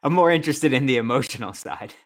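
A man's voice: mid-range voice, quick pace. A man co-hosting a podcast, chatting and asking questions casually. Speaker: podcast host, interviewer. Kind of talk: casual conversational interviewing.

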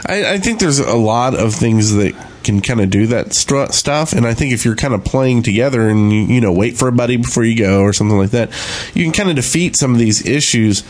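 A man's voice: medium pitch, expressive, a little fast, few pauses, darkish tone. Casual male gaming podcast co-host.

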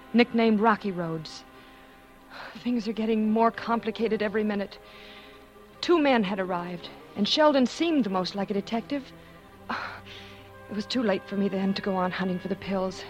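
Actress as scheming wife in vintage radio thriller, music underneath. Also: female voice, medium pitch, expressive, unhurried, lightly acted. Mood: guarded, calculating. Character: scheming wife feigning wan, gentle grief as a new widow. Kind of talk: 1940s radio suspense acting and first-person narration.

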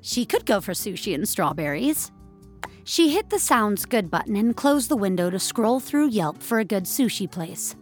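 A woman with a medium-pitched voice narrates a short story. It is a scripted, third-person read with light music underneath. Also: big pitch swings, normal speed. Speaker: narrator of an audio fiction story.

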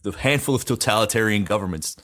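A man's voice: lowish voice, fast, a bit bright. A man co-hosting an interview, talking in a casual, conversational way.

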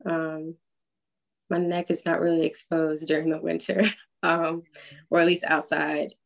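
A woman singer answering interview questions in a relaxed, chatty way, laughing easily and using lots of fillers. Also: low voice, a little slow, creaky. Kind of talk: casual interview chat. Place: Atlanta.

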